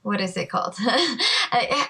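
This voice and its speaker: A woman's voice: mid-range voice, measured pace, creaky, sharp tone, slightly rough. A woman hosting a podcast interview, asking and responding in a casual conversational way.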